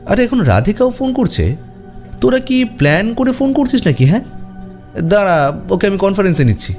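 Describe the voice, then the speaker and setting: A high-voiced, jittery young man. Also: very animated, normal speed, a little mellow. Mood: surprised. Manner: colloquial, exclamatory. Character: startled, jittery young man on a late-night call. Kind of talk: audio story character acting. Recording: music behind the voice. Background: music.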